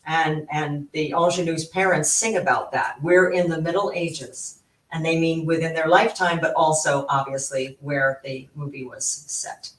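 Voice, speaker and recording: A man's voice: a little high, fairly even intonation, moderate pace, a bit bright, recorded from a distance. A man guest on a podcast, chatting casually about his acting work and laughing easily. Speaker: guest actor. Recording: roomy, off-mic.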